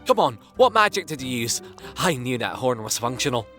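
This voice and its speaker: A man playing an excitable, curious young male pegasus in a scripted audio drama, with an eager, chatty manner. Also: medium pitch, a little fast, faint music behind. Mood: amazed, eager.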